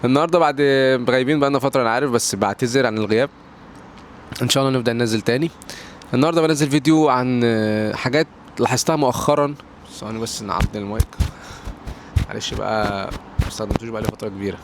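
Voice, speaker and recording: Young Egyptian guy, Egyptian accent, very fast rambling vlog talk, faint traffic outside. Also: medium pitch. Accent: Egyptian Arabic. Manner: rambling, many restarts and fillers, mixes in English words. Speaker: English pronunciation tiktoker. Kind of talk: casual advice vlog. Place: at home near a balcony door.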